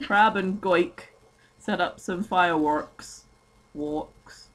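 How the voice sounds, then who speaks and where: A giggly amateur reader with a mid-pitched voice performs a story as a comic dramatic reading. The delivery is casual, slipping between reading aloud and chatty asides. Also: slow pace, a bit bright. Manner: giggly, breaks into laughter while reading. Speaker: reader of a fan fiction story.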